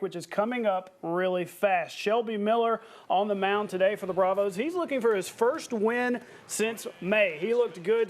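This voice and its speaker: A man anchoring a television sportscast in a playful, conversational way, reading highlights and bantering with a co-host. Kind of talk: upbeat scripted sportscast narration with banter.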